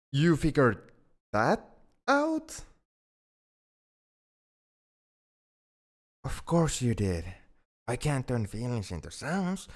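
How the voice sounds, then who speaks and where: A man's voice: lowish voice, a little mellow, lightly acted. A man playing a shy, self-blaming young male alien in an ASMR roleplay, speaking in a hesitant, earnest way. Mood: flustered, distressed. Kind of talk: ASMR roleplay audio drama.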